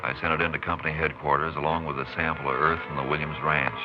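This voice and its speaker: An American actor playing a steady, dogged Texas Ranger in a 1950s radio western, speaking in a dry, plain, folksy way. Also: male voice, extremely low pitch, quick pace, loud music behind the voice. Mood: measured, determined. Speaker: lead actor and narrator. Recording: muffled, noisy 1950s radio recording.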